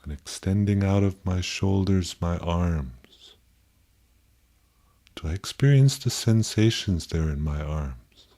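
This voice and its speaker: A man leading a guided meditation in a gentle, contemplative way, with pauses and softly posed questions. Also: sits low.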